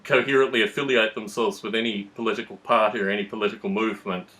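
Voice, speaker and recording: A man's voice: fairly low pitch, fairly even intonation, fast, pushed and bright. A man hosting a conversational discussion, explaining his views in a long-winded, analytical way. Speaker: podcast host.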